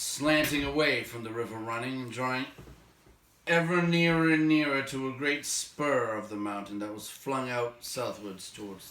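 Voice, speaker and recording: A man narrating a story aloud in a measured, expressive way, letting phrase endings fall away quietly. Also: medium pitch, a little hiss or hum. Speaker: audiobook reader, narrator. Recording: roomy echo, distant microphone.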